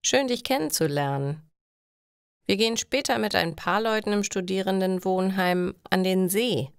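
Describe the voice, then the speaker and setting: A woman's voice: medium pitch, normal speed, creaky, clear, resonant tone, no room sound, clean studio sound, voice pushed up, lightly acted. A woman voicing a friendly, sociable young male student in a storybook, casual and welcoming.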